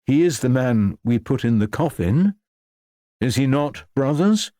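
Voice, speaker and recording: A man's voice: mid-range voice, lively intonation, measured pace, mellow, dry recording, studio quality, voice pushed up, close to an everyday voice. A man playing a reluctant chief undertaker in an audiobook story, formal and deferential. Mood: anxious.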